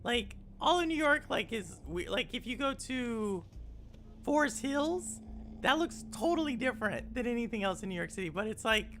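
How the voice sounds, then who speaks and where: A game streamer chatting casually to viewers during a let's play, excitable. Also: medium pitch, moderate pace, a little hiss or hum. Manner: chatty, talking to chat, excitable. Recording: talk over loud game music.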